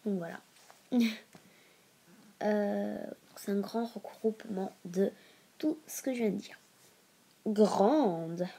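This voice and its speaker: A woman's voice: mid-range voice, slow, creaky, pauses often, dark tone, husky. A woman with a stuffy nose from a cold hosting a casual educational video, reading aloud and breaking into informal asides as she stumbles over long words. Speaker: video host.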